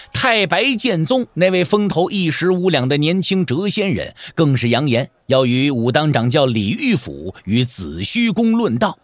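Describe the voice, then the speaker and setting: A male narrator tells a martial-arts tale with theatrical emphasis. His voice sits slightly high and moves widely in pitch, drawing out key endings, in a dry studio sound. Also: no echo. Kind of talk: dramatic scripted audiobook narration. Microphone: close studio microphone.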